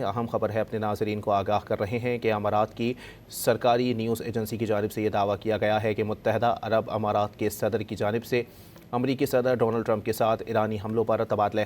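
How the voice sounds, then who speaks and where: A man's voice: fairly low pitch, level tone, a little fast, a little mellow, slight background noise. A man with a Pakistani accent reading breaking news as an anchor, in a formal, insistent delivery. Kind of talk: breaking news bulletin in Urdu, repeated formally.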